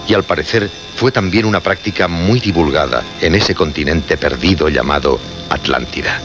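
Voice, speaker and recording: A man with a Castilian accent acting the solemn, insistent host of an occult show in a radio drama, phrasing deliberately and emphatically. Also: low voice, close to an everyday voice. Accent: Castilian Spanish. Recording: noisy, dull old radio recording.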